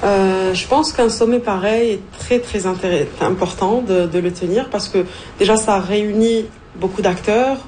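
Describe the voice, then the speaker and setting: A woman's voice: mid-range voice, dark tone, a little hiss or hum. A woman whose first language is Arabic, answering a radio interview earnestly as a newcomer, with small restarts.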